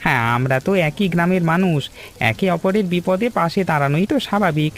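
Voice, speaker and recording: A man voicing a helpful, steady village uncle in an animated story, advising and reassuring his neighbours. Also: on the high side, fast, lightly acted. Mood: reassuring, cautious. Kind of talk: Bengali animated story dialogue.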